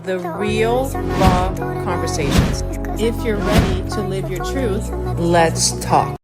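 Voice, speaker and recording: A woman reading a scripted promo intro for a show, slow and deliberate. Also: medium pitch. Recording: loud music under the voice.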